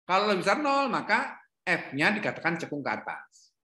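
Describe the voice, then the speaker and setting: Bright-voiced man lecturing in an echoey room. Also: sits high, very animated, moderate pace. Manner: questioning, self-correcting, repeats "ya". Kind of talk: online calculus lecture. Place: home room, not office.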